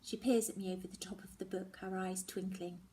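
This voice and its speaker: A woman's voice: mid-range voice, fairly even intonation, brisk, mellow. A woman narrating a first-person story expressively, with dramatic delivery that often drops toward a whisper. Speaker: story reader.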